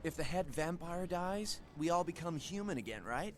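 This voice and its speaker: A man's voice: on the high side, animated, normal speed, close to an everyday voice. An actor playing a gullible, anxious man in a video game, hesitant and naively earnest. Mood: anxious, hopeful. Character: gullible, anxious male wannabe ex-vampire. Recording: crowd murmur behind.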